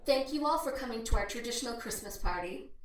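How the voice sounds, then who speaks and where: A woman's voice: fairly high pitch, animated, fast talker, natural voice. A woman playing a young heiress giving a heartfelt, wry speech at a formal party in an audio drama. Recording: echoey hall PA, distant, faint music.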